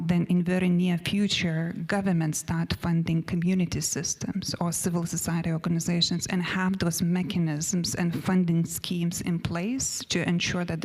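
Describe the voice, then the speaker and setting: A woman with a Lithuanian accent delivers a panel presentation in non-native English. Her voice sits low, and she pauses often with fillers while keeping to her notes. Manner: hesitant, many fillers and restarts, reading from notes. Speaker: panel speaker. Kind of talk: conference presentation.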